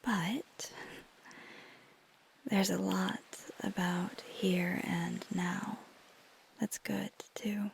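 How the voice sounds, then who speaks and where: A woman playing a flirty, tender vampire in an intimate ASMR roleplay, speaking softly and gently, mostly in a breathy whisper. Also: medium pitch, flat delivery, a little hiss or hum, poor audio. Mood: wistful. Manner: slow, gentle, hesitant, teasing.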